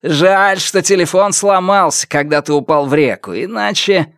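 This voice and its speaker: A man voicing a frantic, wounded villain in an audiobook, hoarse and breathless, with a taunting, raised voice. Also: very high-pitched, big pitch swings, measured pace, dry recording, studio quality, voice pushed up, heavily acted. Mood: gloating. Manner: shouting and taunting. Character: frantic wounded male villain, gloating and enraged.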